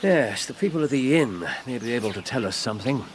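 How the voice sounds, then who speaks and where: Male actor as shrewd english gentleman detective, really swoopy expressive delivery, precise, radio play, bit of background noise. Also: mid-range voice, fast, close to an everyday voice. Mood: determined. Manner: incisive, theatrical, precise. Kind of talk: radio drama acting.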